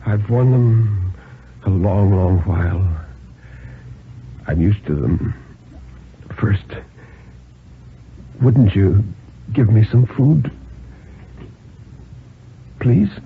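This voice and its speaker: A man voicing a weak, humble old fugitive in a slow, halting way on a 1950s radio reading. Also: lowish voice, lively intonation, exaggerated voice. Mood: feeble, pleading. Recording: muffled 1950s radio recording with hiss.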